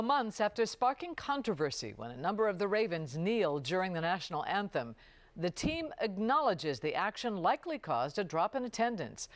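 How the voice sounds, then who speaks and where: A woman anchoring a TV newscast, reading scripted introductions. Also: fairly low pitch. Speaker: TV news anchor.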